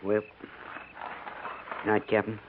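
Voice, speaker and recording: An actor playing a kindly, deferential cavalry trooper in a 1950s radio western, with earnest, folksy talk. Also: male voice, lowish voice, completely flat delivery, slow pace, phone-quality sound. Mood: concerned. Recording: scratchy, phone-thin 1950s radio recording.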